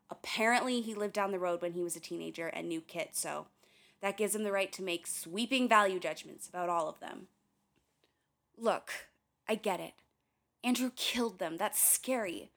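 A woman's voice: mid-range voice, normal speed, vocal fry. An actress in a radio play voicing an earnest, curious young woman, speaking in a candid, conversational way with wry touches. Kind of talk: radio play dialogue and recorded diary.

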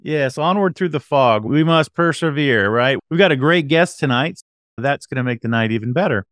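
A man's voice: medium pitch, expressive, normal speed, a bit bright, no room sound. Male podcast host in a dry, close recording. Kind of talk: casual podcast interview.

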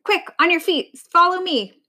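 A frantic old female vulture from a storybook, acted out by a woman, screeching in a high, bright voice. She is bossy and urgent, firing off exclaimed orders. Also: animated, normal speed.

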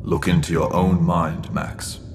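A man's voice: deep voice, little pitch movement, unhurried, a little mellow. A man playing a stern, cryptic mentor in a sci-fi audio drama, grave and admonishing. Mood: grave, urging. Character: stern, cryptic mentor figure guarding a teenage boy. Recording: long hall reverb, clear background noise.

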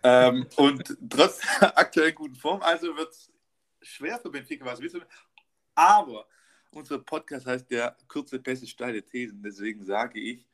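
A man's voice: mid-range voice, measured pace, fairly bright tone. A young man co-hosting a casual football podcast, reeling off statistics with lots of fillers and an upbeat tone. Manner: stat-heavy, upbeat, filler-laden delivery. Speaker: podcast co-host.

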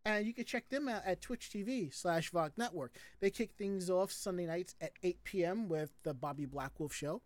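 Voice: mid-range voice, moderate pace, few pauses, dry recording. A host chatting casually and informally on a live show, talking in a chatty way. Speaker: podcast host.

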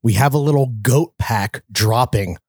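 A man co-hosting a podcast in a laid-back, slangy way, laughing often. His pitch sits in the middle of the usual range. The recording is close and dry. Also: a little slow, a little mellow, no room sound. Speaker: podcast co-host. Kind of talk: casual podcast banter.

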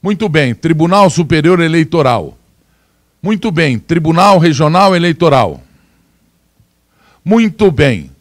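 A man's voice: a little high, sing-song, no echo. Emphatic man giving dry, close commentary. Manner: emphatic, slows down to stress words. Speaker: commentator presenting video clips. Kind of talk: opinionated political commentary.

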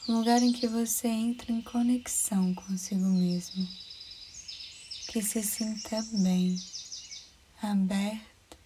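A Brazilian woman guiding a meditation in a soothing, soft-spoken way, with breathy phrase endings. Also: mid-range voice, steady tone, slow pace, long pauses. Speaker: meditation guide. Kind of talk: guided meditation narration. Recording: clear background noise with birdsong. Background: birds chirping, outdoor-like ambience.

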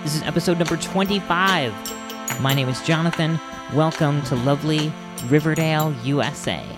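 A man's voice: a little high, normal speed, music in the background. A man hosting a podcast, talking casually in a rambling, enthusiastic way. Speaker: podcast host.

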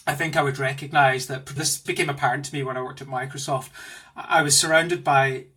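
A man in his forties with a Glasgow accent talks with lively enthusiasm from his home office. His voice is bright and carries a slightly husky edge. He tells long, rambling stories about his career in the style of a podcast interview. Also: medium pitch, brisk. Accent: Glaswegian. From Scotland. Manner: animated, enthusiastic storyteller. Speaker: guest, tech executive.

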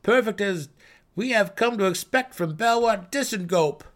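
Man voicing a high, courtly deep gnome miner. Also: lively intonation, normal speed, fairly bright tone. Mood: amused, admiring. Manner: ornate, formal praise. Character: hearty, formal male deep gnome miner.

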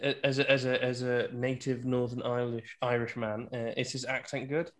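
Male co-host, mid pitch, dry teasing delivery. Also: level tone, normal speed. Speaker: podcast co-host. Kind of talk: casual banter.

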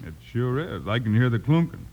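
An actor playing a calm, capable Old West lawman with an American accent in an old-time radio western. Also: mid-range voice, fairly quick, creaky, dull audio. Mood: calm, matter-of-fact. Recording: faintly hissy vintage radio recording.

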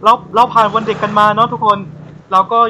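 Bright-voiced man hosting, fairly high. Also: level tone, quick pace, lo-fi sound, a little hiss or hum. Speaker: podcast host. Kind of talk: casual live show banter.

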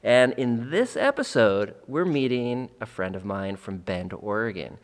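A man's voice: mid-range voice, lively intonation, measured pace, long reverb tail. A man hosting a podcast, talking reflectively and repeating phrases for emphasis, then interviewing a guest. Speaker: podcast host. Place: Central Oregon.

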